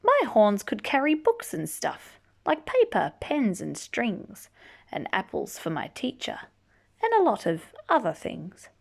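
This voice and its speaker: A woman's voice: medium pitch, huge pitch swings, unhurried, vocal fry. A woman reading a children's picture book aloud in a playful manner, drawing out words for emphasis. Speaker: storybook reader. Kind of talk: animated children's read-aloud with asides.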